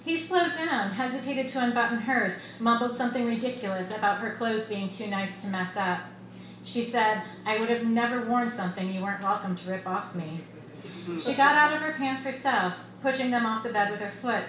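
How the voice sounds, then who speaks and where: A middle-aged woman reading her own short fiction aloud at a live event, in a measured, expressive storytelling delivery. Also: fairly high pitch. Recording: echoey bar room with loud background noise.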